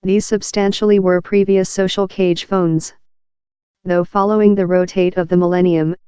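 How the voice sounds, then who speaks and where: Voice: mid-range voice, darkish tone, clear, resonant tone, no echo. A narrator reading a scripted article aloud in an impersonal, uninflected way.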